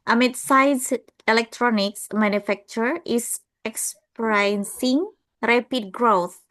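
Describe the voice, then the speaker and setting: A woman with an Indonesian accent reads a case study aloud to her class. She goes slowly and carefully, with small slips along the way. Her voice sits fairly high. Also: fairly bright tone. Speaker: student reading a case study.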